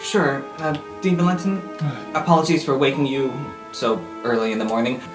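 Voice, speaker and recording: Male roleplayer, medium pitch, improvised game dialogue, roomy sound over plucked-string music. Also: animated, normal speed. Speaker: tabletop game player.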